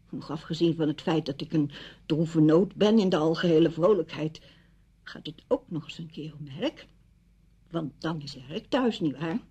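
Radio drama: wounded widow, reproachful and emphatic, rough-edged husky voice. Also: female voice, on the low side, mellow. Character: wounded, indignant widow confronting her former best friend.

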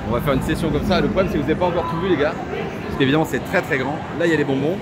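A man's voice: fairly high pitch, racing, a loud crowd, very noisy. A man vlogging casually and enthusiastically, using informal slang. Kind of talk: casual chat to camera. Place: large indoor arcade. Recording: loud arcade music and machine din behind.

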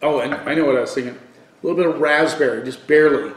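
A middle-aged man giving a casual wine review on video, thinking aloud haltingly and repeating words for emphasis. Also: a little high. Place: living room at home, indoors. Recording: echoey room.

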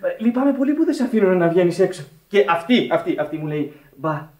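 An actor playing an eager, polite young American sailor in a radio drama, stammering, flustered and deferential. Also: male voice, high-pitched, sing-song, darkish tone. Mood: nervous. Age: young adult. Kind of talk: theatrical radio drama acting. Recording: roomy, distant old radio recording.

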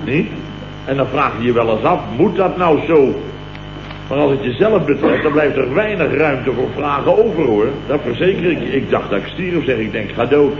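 A man's voice: medium pitch, expressive, normal speed, natural voice. Man acting a blustering boss on a noisy old recording. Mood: aggrieved, dramatic. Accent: Dutch, from the Netherlands. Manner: rambling, repetitive, exclamatory grumbling. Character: sleep-starved, blustering, self-pitying male company boss. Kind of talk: late 1960s comic radio play acting. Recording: dull, noisy, roomy old radio recording.

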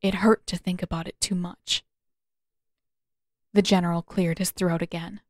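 A woman reading scripted fiction aloud as a narrator, with expressive, dramatic delivery. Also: lowish voice, moderate pace, no echo, clean studio sound. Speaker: audiobook narrator of fan fiction.

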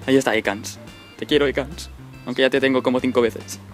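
A young man from Spain with a Valencian accent, chatting jokily in a casual outdoor gaming vlog and bursting into excited shouts, recorded on a handheld camera by a dry riverbed. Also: medium pitch, a little fast, faint music behind. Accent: Valencian Spanish. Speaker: vlog host.

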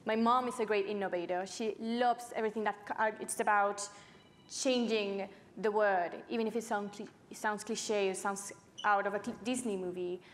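A woman's voice: mid-range voice, steady tone, moderate pace, a little hiss or hum. Young Chilean-accented woman, conversational. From Santiago, Chile. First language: Spanish. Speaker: youth climate activist panelist. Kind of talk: personal story in a panel talk. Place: stage at an indoor panel event. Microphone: lapel mic.

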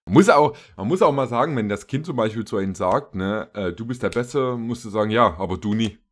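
Low-voiced young man reacting casually. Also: expressive, fairly quick. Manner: rambling, mock-serious. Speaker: reaction video host. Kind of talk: casual, joking reaction commentary. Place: small indoor gaming room.

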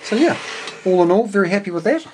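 A man's voice: high-pitched, big pitch swings, fast, darkish tone. A man with a New Zealand accent giving a casual, unscripted technical walkthrough as a radio hobbyist, hesitant and speaking in short phrases. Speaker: amateur radio hobbyist presenting his homebuilt receiver. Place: indoors at a home workbench. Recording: clearly noisy home video with clicks.

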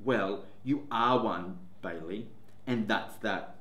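A brusque, dismissive parent who shuts the conversation down with loud, firm words, as a man reading a storybook puts it on. It rings in a roomy classroom. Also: lowish voice, takes it slowly. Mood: stern.

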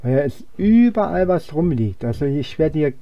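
Young guy doing a chill let's play, soft dark tone, kinda rambles thinking out loud. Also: a little high, sing-song, normal speed, muffled recording. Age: young adult. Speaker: let's player. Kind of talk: casual gameplay commentary.

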